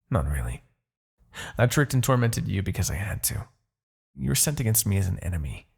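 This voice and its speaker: A man voicing an arrogant, brooding demon king in a fantasy ASMR roleplay, condescending and theatrical, with a husky, gravelly low voice and pauses. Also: darkish tone, exaggerated voice. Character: arrogant demon king, gloating yet begrudgingly attached captor.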